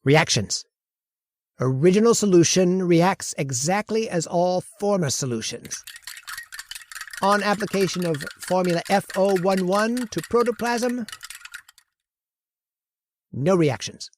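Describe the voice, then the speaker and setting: A woman's voice: on the low side, animated, unhurried, long pauses, no room sound. A woman voicing a manic, arrogant male scientist in a radio horror play, bullying his assistant and gloating in frantic outbursts. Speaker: voice actor. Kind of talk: old-time radio drama acting.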